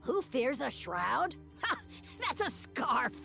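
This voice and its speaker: A woman's voice: sits high, very animated, takes it slowly, gravelly, bright, forceful tone, faint music behind, heavily acted. A woman voicing a small, ancient male yordle ninja master in a video game, spirited and wry, with clipped, teacherly, playful pronouncements. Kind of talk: video game character voice lines.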